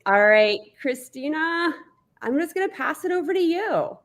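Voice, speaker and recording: A woman's voice: high-pitched, lively intonation, normal speed, fairly bright tone. A woman hosting a webinar, welcoming the audience and introducing a guest speaker over a computer mic. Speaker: webinar host.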